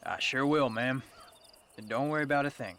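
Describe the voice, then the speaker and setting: An actor playing a polite, determined young American cowboy in a game, speaking plainly. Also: male voice, medium pitch, brisk, a bit bright, slight background noise, natural voice. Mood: polite, purposeful. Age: young adult. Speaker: video game character. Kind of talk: game cutscene dialogue.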